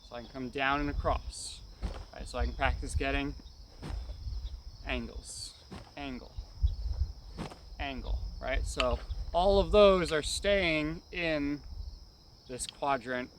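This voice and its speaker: A man's voice: medium pitch, a bit bright, a little hiss or hum. A man giving hands-on martial arts instruction in short, clipped phrases, often adding brief check-in tags. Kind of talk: hands-on technique demonstration, instructional.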